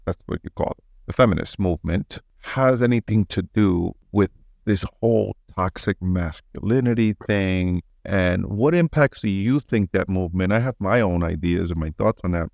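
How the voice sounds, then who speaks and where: A man's voice: deep voice, moderate pace, gravelly, a little mellow, dry recording, dull audio. A man hosting a podcast, chatting casually and bluntly with informal, opinionated phrasing. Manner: blunt, informal, mild swearing. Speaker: podcast host. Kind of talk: casual, opinionated interview chat.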